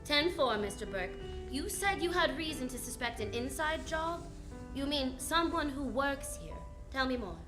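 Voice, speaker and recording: High-voiced wisecracking lady detective acted by a woman. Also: expressive, normal speed, music in the background. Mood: cool, sardonic. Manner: sardonic, snappy, quipping hard-boiled delivery. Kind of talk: old-time radio detective acting.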